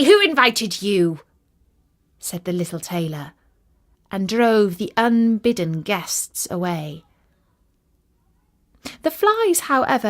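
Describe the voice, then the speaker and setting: A woman narrates a children's fairytale at an unhurried pace. Her delivery is expressive and deliberate, with a very animated rise and fall in pitch. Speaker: storyteller.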